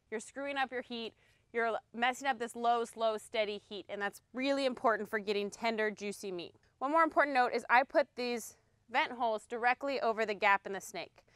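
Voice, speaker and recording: A woman's voice: a little high, fairly bright tone, smooth, no echo, clean studio sound. A woman in her thirties giving a casual, chatty cooking demonstration on an outdoor deck, explaining as she works. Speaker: cooking instructor. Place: outdoor deck beside a grill.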